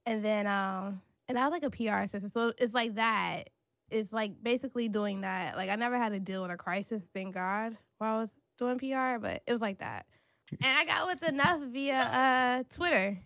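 A woman's voice: a little high, moderate pace, creaky, fairly bright tone, no room sound. A young woman from North Carolina, raised in Washington DC, chatting casually as a guest and rambling with many fillers. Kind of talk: casual interview chat.

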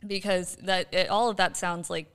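A woman with a slightly low, smooth and resonant voice. She chats as a podcast co-host in a casual discussion. She muses aloud, working her ideas out as she goes. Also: steady tone, moderate pace, fairly bright tone. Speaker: podcast co-host, quiz contestant.

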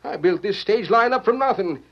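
A fiery old American frontier businessman in a radio Western. His voice sits very high for a man, and he blusters stubbornly in folksy frontier speech. The sound is dull, lo-fi vintage radio. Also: lively intonation, a little fast. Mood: furious, defiant. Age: older. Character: fiery, stubborn old frontier stage line owner, a father.

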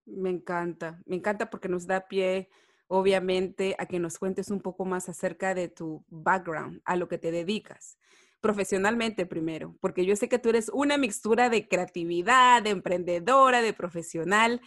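A woman's voice: lowish voice, normal speed, a bit bright, no room sound, studio quality. A woman hosting an interview, asking questions in an effusive, encouraging, conversational way. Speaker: interviewer, host.

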